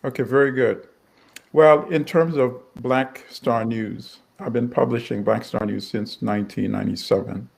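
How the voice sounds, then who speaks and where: Middle-aged male interview guest, gravelly mid-pitched voice, conversational. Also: fairly even intonation, moderate pace, a little mellow. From Uganda and Tanzania. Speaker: guest, newspaper editor and publisher.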